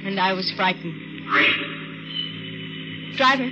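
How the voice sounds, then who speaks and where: A woman's voice: medium pitch, slow pace, loud music behind the voice, natural voice. An actress playing an anxious, polite woman in a 1940s radio thriller, hesitant and pleading, with a breathless manner. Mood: uneasy, hesitant. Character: anxious, polite young woman, a frightened late-night passenger. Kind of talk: 1940s radio thriller acting, first-person narration. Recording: muffled, very noisy old radio recording.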